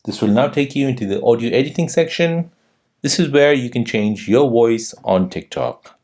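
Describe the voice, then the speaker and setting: A man presents a scripted how-to tutorial in a mid-range voice. His intonation moves up and down in an animated way as he gives instructions step by step. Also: mid-range voice. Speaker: tutorial host.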